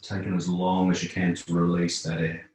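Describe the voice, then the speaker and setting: A man teaching yoga, guiding relaxation and breathing in calm, unhurried phrases with long pauses. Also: low voice. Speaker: yoga instructor. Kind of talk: guided yoga relaxation instruction. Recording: very echoey room.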